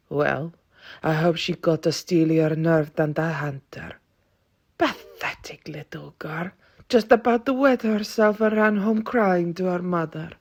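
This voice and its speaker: Ancient vampire matriarch and warrior played by a woman, stern and grave, gravelly breathy voice, slow dramatic pacing for audio drama. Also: lowish voice, fairly even intonation, darkish tone. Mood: scornful amusement. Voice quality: husky, breathy and creaky. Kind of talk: audio roleplay acting.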